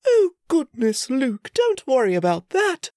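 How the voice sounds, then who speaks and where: Woman reading as a fussing, tender queen mother, pitched well up and a touch loud. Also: wildly swooping pitch, measured pace, no room sound, clean studio sound, heavily acted. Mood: fretting. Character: fretful, doting queen mother.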